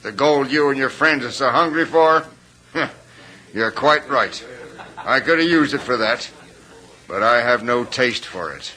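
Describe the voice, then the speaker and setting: A man's voice: mid-range voice, lively intonation, a little slow, pauses often, sharp tone, poor audio. An actor playing a bitter, world-weary man guarding hidden gold, with sardonic, theatrical phrasing in old-time radio drama style. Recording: old radio recording with faint hiss.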